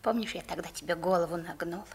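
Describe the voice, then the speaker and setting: A woman's voice: on the low side, fast, husky. A woman playing an earnest, eager young girl in a 1960s radio drama. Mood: earnest, instructing. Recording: vintage 1960s radio play recording.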